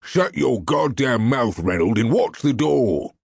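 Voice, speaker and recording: A man voicing an irritable, foul-mouthed crook with a gruff, gravelly voice and a snapping, impatient manner in an audiobook. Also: mid-range voice, animated, unhurried, a little mellow, dry recording, clean studio sound, voice pushed up, exaggerated voice. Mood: irritated.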